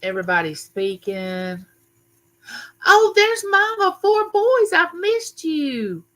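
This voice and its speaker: Loud, bright-voiced older woman. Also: very high-pitched, sing-song, slow. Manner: loud, chatty, greets viewers by name. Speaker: livestream host. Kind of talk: casual live chat with viewers. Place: home room used for filming.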